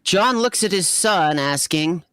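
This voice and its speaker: A woman hosts and narrates a comic fanfiction reading at a slow pace. She trips on written words and restarts them. The sound is close and dry. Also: fairly low pitch, animated, no echo. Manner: stumbles over written words and corrects self. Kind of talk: comedic live story reading, chatty.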